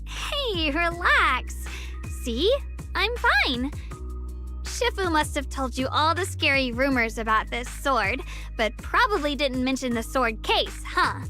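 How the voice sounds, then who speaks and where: A woman's voice: high voice, big pitch swings, slow, sharp tone, soft background music, exaggerated voice. A woman voicing an earnest, polite young swordswoman disciple in a video game, with a reassuring manner.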